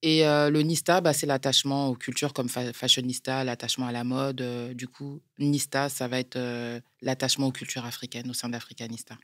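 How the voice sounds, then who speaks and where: A woman with a French accent, a brand founder answering interview questions in a measured way, pausing often to find her words. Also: a bass voice, little pitch movement, dry recording, studio quality. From France. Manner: measured, explains step by step with frequent hesitations. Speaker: guest, clothing brand founder. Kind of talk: interview conversation.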